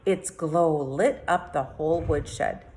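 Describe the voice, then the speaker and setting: A woman with a slightly low-pitched voice reads a storybook aloud. She narrates expressively, in the manner of a teacher sharing a fairy tale with a class. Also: slow, darkish tone.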